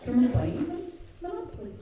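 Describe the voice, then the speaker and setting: Female live co-host, playful and animated, distant in a big echoey hall with crowd noise. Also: very high-pitched, unhurried. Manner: playful, teasing, often chuckling. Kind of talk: live stage banter and announcing. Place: community hall stage, live audience. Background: music, audience noise.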